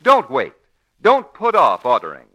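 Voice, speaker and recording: A man's voice: mid-range voice, wildly swooping pitch, unhurried, a bit bright. A man announcing a 1940s radio show and its advertising, polished, emphatic and declamatory. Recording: old 1940s radio broadcast.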